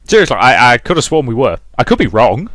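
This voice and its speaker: A man's voice: medium pitch, big pitch swings, fast talker, fairly bright tone, no room sound, studio quality. A man giving casual gameplay commentary as a let's player, chatting in a rambling, self-questioning way. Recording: game music behind the voice.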